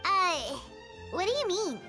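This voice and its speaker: Squeaky, childlike little fairy companion. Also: female voice, very animated, takes it slowly, pushed and bright, heavily acted. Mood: chatty, curious. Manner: refers to self in third person, exclamatory. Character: tiny floating fairy companion, childlike, excitable, teasing. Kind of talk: video game character acting. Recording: background music throughout.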